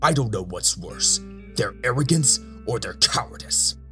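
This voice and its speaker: An actor playing a hard-nosed, pragmatic robot officer with a clipped, insistent, bitter manner. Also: male voice, medium pitch, animated, moderate pace, fairly bright tone, soft background music, natural voice. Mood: angry, insistent.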